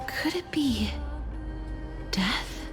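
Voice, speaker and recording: A woman playing a gentle, solemn young priestess in a video game, speaking softly and breathily in a measured, composed way. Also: on the high side, expressive, music in the background. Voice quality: soft, breathy, whispery. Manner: slow, measured, composed delivery.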